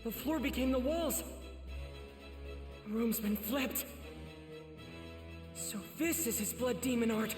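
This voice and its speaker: A man's voice: very high voice, takes it slowly, pauses often, over music. Earnest teenage boy hero, roomy sound. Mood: alert wonder. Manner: earnest, resolute, reassuring. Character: earnest, protective teenage boy demon slayer. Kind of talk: dubbed anime game acting.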